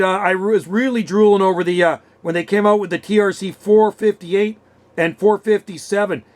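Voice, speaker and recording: A Canadian man of about sixty, chatty and enthusiastic, telling stories in casual radio conversation. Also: high-pitched. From western Canada. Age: around 60. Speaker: CB radio hobbyist. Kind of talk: casual radio chat, reminiscing. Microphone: vintage desk radio microphone.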